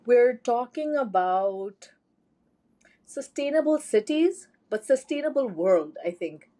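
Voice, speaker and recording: A young woman from India co-hosting a podcast, telling stories in an animated, chatty way. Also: a little high, slow pace. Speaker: podcast co-host. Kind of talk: casual chat.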